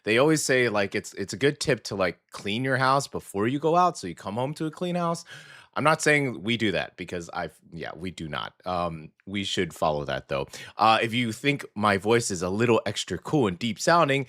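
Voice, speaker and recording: Male morning radio host, hoarse gravelly voice, casual upbeat patter. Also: lowish voice, animated, moderate pace, a bit bright. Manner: upbeat and chatty, with many fillers. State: hoarse, scratchy after a weekend out.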